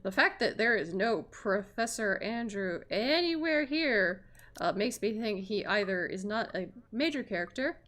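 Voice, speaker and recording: A woman livestreaming a visual novel, chatting and reacting aloud to the characters in an informal, expressive way. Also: medium pitch, a little slow. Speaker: streamer playing a visual novel.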